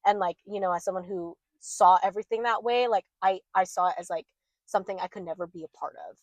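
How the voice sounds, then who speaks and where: A woman's voice: medium pitch, fast talker, no echo. A young woman co-hosting a podcast, chatting candidly and rambling with lots of "like". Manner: rambling, heavy use of "like", candid. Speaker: podcast co-host. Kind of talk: casual chat.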